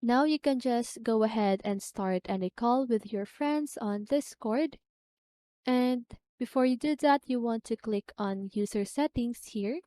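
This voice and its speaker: A woman presenting a step-by-step software tutorial in an instructional manner, often checking in with a short question. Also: on the high side, a little slow, dry recording.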